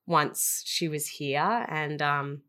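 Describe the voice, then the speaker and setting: A woman's voice: sits low, fairly even intonation, very slow, bright, forceful tone. An Australian woman in her twenties, co-hosting a podcast in a chatty, rambling way and laughing easily. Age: 20s. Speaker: podcast co-host. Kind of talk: casual chat.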